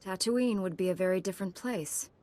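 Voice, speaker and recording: An actress playing a composed, dutiful young hero knight in a video game, earnest in delivery. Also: female voice, medium pitch, fairly quick, mellow, lightly acted. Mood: measured. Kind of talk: video game character dialogue.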